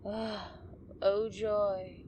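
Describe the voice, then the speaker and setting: A woman playing an injured, stubborn, sardonic tough woman in an audio drama, often hushed and breathy. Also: medium pitch, flat delivery, very slow. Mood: wry. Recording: clear background ambience noise.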